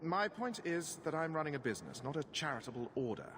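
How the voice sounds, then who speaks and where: A man's voice: on the high side, very animated, quick pace, a bit bright, a little hiss or hum. A man voicing a shrewd smuggler businessman in a video game, bargaining in a dry, businesslike tone.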